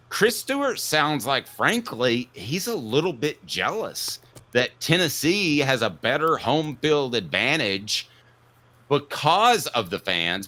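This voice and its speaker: A man hosting a sports talk show, giving opinionated commentary in an emphatic way, with punchy declarations and drawn-out stresses. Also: medium pitch, bright, forceful tone.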